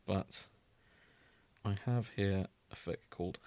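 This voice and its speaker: A man's voice: deep voice, takes it slowly, warm tone, dry recording. A man co-hosting a casual podcast, chatting and reading fan fiction in an amused, wry, sweary way. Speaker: podcast co-host.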